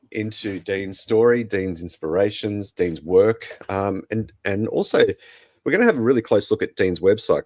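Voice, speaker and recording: An Australian man with a croaky voice, casually hosting a podcast. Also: lowish voice, no echo, clean studio sound. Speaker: podcast host.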